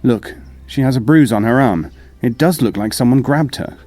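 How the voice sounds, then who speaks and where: An actor playing a level-headed psychologist in an audio drama, speaking in an even, questioning manner. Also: male voice, medium pitch, moderate pace, faint music behind, lightly acted. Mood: concerned. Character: calm male psychologist, probing and level-headed.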